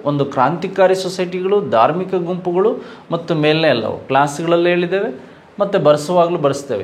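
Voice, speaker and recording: Kannada-man explaining exam questions. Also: fairly high pitch. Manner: explanatory, repeats key answers, asks and answers own questions. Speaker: exam coaching teacher.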